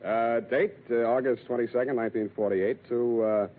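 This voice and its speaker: A man's voice: mid-range voice, steady tone, moderate pace, phone-quality sound, lightly acted. A man acting as a sardonic, hard-boiled American private detective in a 1940s radio drama, glib and bantering. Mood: dictating, exasperated. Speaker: radio actor playing the lead detective. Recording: noisy, band-limited old radio recording.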